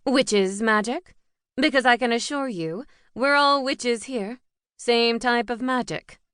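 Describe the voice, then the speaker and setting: A stern, imposing older witch teacher, formal and commanding, as a woman narrator puts her on for a storybook. Her lines sit higher and ring louder than the narration, measured and unhurried, in a dry, close recording. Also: smooth, dry recording. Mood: composed.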